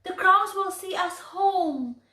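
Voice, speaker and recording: A woman's voice: very high voice, slow pace, sharp tone, slight background noise, pitched above the performer's own voice, full character voice. A woman voicing a brave, caring young boy in a children's story, in a reassuring manner. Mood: urgent. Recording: echoey room.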